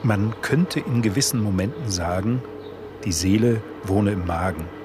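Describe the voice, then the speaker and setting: A man giving a measured, formal literary reading of a philosophical text for a radio play. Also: lowish voice, noisy background.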